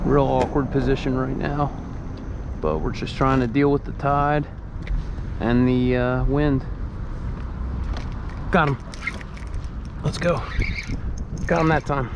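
Relaxed guy mumbling through a kayak fishing trip, head cam, wind blowing loud on the mic. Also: medium pitch. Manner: laid-back, muttering to himself between catches. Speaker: fishing vlogger. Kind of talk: casual on-the-water narration. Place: kayak on open water under a bridge, windy. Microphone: head-mounted action camera. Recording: wind noise on an outdoor camera mic.

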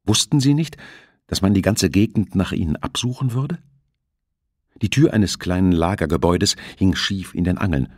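A man narrating a thriller novel as an audiobook, with measured, dramatic storytelling. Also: low voice, no room sound, studio quality.

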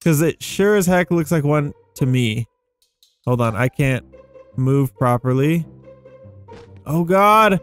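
A young man giving casual, slangy, excitable gameplay commentary to viewers. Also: a little high, slow pace, pauses often, faint music behind. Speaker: gaming video host.

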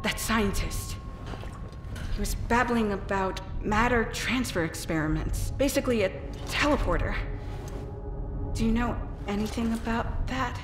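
An actress playing a serious, direct young special agent in a dramatic video game cutscene. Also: female voice, a little high, animated, a little slow. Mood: demanding, resolute. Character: determined, questioning female special agent, tense and loyal. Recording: echoey reverb, loud music behind.

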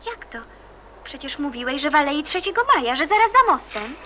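A woman's voice: sits high, sing-song, a little fast, bright, forceful tone, heavily acted. A woman acting an eager, frank, cheerful teenage girl in a radio play, earnest, inquisitive and plain-spoken. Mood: brisk, questioning. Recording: noticeable background noise and ambience.

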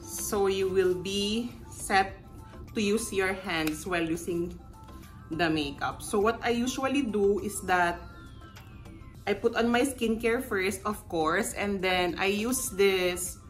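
A woman's voice: mid-range voice, fairly bright tone. Chatty woman vlogging. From Philippines. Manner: rambling, friendly, self-correcting asides. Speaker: beauty vlogger. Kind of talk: casual chatty makeup tutorial. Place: bedroom at home, indoors. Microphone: camera in front at close range.

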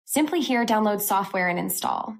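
A woman host narrating a scripted software review for a tech channel. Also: mid-range voice, lively intonation, moderate pace, gravelly.

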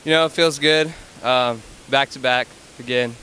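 A teenage boy giving relaxed, hesitant answers in a school gym. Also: mid-range voice, unhurried, slight background noise. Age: teens. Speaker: homecoming nominee.

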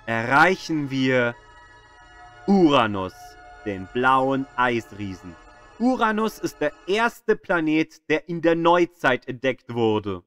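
A man reading scripted educational narration in a measured, storytelling way with dramatic emphasis. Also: medium pitch, fairly bright tone. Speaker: narrator of an audio tour of the solar system. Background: music.

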